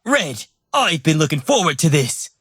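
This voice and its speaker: Swooping, showy British game champion. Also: male voice, on the high side, big pitch swings, moderate pace, fairly bright tone, breathy edge, full character voice. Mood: confident, upbeat. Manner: theatrical, exuberant. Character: confident, showy young male champion, upbeat. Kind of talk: video game voice lines.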